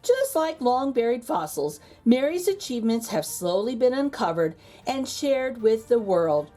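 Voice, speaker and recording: A woman with a high voice narrates a children's picture book. Her delivery is theatrical and very lively, with big swings in pitch and dramatic pauses for emphasis. Soft music plays behind her. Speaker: children's read-aloud host.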